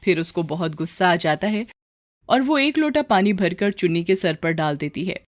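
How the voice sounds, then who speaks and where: A woman's voice: on the low side, lively intonation, normal speed, no echo. A woman storyteller giving scripted narration of a story.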